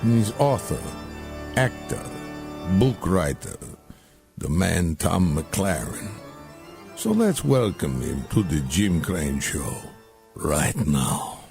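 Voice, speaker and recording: Lively male radio host, very expressive pitch. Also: slow pace, gravelly, soft background music. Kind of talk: casual radio interview chat.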